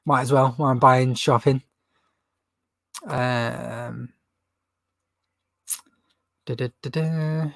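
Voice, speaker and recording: A man's voice: mid-range voice, steady tone, slow pace, creaky, long pauses, a bit bright, no echo, studio quality. A young man with a runny nose hosting a casual livestream Q&A from a stock room, rambling chattily and laughing at his own jokes. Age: young adult. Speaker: reseller livestream host. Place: indoor stock room with shelves.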